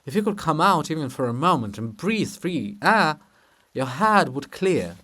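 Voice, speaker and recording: A man voicing the statue of a male statesman in a theatrical farce, teasing, brisk and grandly consoling. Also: fairly high pitch, big pitch swings, sharp tone, full character voice. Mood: needling. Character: brisk, needling, jaunty male monument of a statesman.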